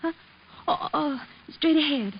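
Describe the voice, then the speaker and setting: An actress playing a polite, hesitant, easily flustered office secretary in a 1950s radio comedy-drama scene. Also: female voice, high voice, measured pace. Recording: muffled, noisy old radio recording.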